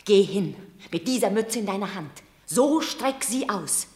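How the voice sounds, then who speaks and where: A woman's voice: mid-range voice, expressive, measured pace, a little mellow, echoey room, close to an everyday voice. An actress playing a shrewd, commanding mother urging her son in a radio drama.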